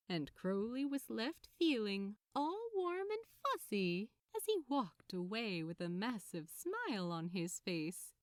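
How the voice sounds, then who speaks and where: A woman narrates a piece of fiction with very animated intonation. She reads at an unhurried pace in a mid-range voice, and the recording is close and dry. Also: fairly high pitch, no room sound. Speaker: podfic narrator. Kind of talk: expressive scripted story narration.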